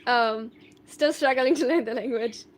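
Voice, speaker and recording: A woman's voice: a little high, unhurried, a little hiss or hum. A young woman from Germany chatting casually on a live stream, answering viewer questions in a thoughtful, rambling way with many fillers and restarts. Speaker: livestreamer.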